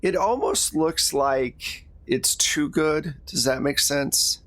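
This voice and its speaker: A man narrating a tabletop horror game as game master, deliberate and descriptive in a conversational way. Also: on the high side, fairly bright tone, no room sound.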